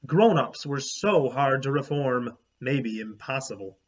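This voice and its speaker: A man reading a children's book aloud like a teacher, in an animated, emphatic storytelling way with asides to young listeners. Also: medium pitch, measured pace, highs cut off.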